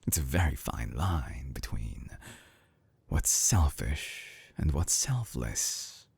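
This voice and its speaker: An actor playing a suave, obsessive villain scientist in an intimate binaural audio drama, teasing and menacing, with a husky voice that slips into breathy whispers. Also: male voice, very deep voice, animated, a little mellow, dry recording, full character voice. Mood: smooth, persuasive. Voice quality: husky, gravelly. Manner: slow, theatrical, teasing, sliding into breathy whispers. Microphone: close binaural microphone.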